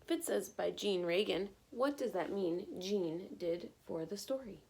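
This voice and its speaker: Gravelly-edged woman reading to children. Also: mid-range voice, unhurried, poor audio. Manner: expressive, encouraging, teacherly. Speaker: teacher reading a picture book aloud. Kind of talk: children's read-aloud with questions to listeners.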